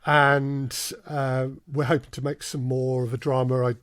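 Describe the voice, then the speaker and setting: A man's voice: medium pitch, flat delivery, a little slow, fairly bright tone, no room sound, clean studio sound. A man talking conversationally as an interview guest, explaining his work through anecdotes. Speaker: podcast guest, audio drama producer.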